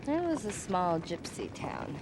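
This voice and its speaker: A woman's voice: huge pitch swings, measured pace, exaggerated voice. An actress playing a lonely, proud young woman with a teasing yet guarded manner in a game drama. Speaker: voice actor in a game cutscene. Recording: clear background noise or rumble.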